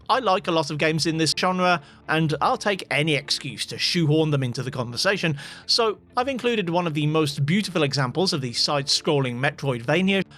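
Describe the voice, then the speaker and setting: A man's voice: fairly high pitch, a bit bright. A man giving scripted voice-over narration as a tech video host, with dry, wry asides.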